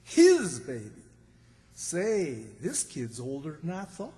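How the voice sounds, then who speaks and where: A man's voice: fairly high pitch, wildly swooping pitch, dark tone, full character voice. A man playing a dim, gullible, good-natured country bumpkin in old-time radio comedy style. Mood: earnest, clueless. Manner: folksy, slow-witted, earnest. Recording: reverberant hall stage recording.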